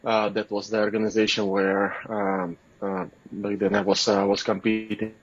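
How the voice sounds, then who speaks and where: A man from Russia with a Russian accent, giving interview answers haltingly as he searches for his words. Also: fairly low pitch, level tone, husky, lo-fi sound. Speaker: guest, kettlebell athlete and coach. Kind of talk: interview answers, casual talk.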